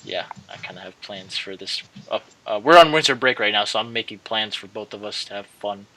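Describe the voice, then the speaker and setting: A man's voice: lowish voice, a little fast, bright, forceful tone, rough recording. A young man hosting a gaming channel, chatting casually in a rambling, hesitant way that often repeats and trails off. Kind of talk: casual rambling video outro.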